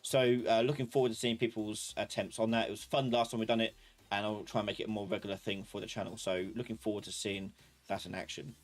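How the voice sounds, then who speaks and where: A man's voice: fairly low pitch, little pitch movement, fast, soft background music. A man hosting a live online class, talking informally and thinking aloud as he rambles through his points. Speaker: live class instructor and channel host. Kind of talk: casual live-stream tutorial talk.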